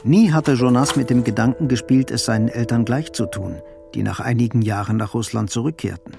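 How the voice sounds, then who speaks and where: A male narrator tells a literary story for a radio play. His voice is mid-pitched and creaky, with faint music behind it. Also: steady tone, a little fast.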